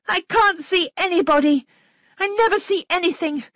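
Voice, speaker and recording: A petulant little girl from a storybook, acted by a woman. Her voice is extremely high and shrill, spiteful and frightened, flung out vehemently with forceful edge. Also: moderate pace, no echo. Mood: hateful, upset. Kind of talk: dramatic audiobook character acting.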